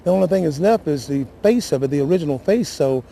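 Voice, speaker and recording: Male local official, creaky mellow voice, halting and shaken, live interview over background noise. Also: a little high, expressive. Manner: halting, repetitive, emotional and earnest. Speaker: city councilman giving interview. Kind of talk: unscripted live news interview.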